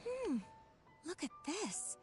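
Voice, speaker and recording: Game bystander: inquisitive, chatty townswoman, high register, lively intonation, light music underneath. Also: slow pace, exaggerated voice. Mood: surprised. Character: curious, gossipy townswoman reacting to local news. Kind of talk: scripted game dialogue.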